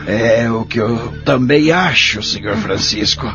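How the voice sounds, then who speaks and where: A man playing a gentle, repentant old man near the end of his life, speaking earnestly and formally with a Brazilian accent in an audio drama. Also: mid-range voice, measured pace, lightly acted. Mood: reassuring. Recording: dull lo-fi audio with music behind.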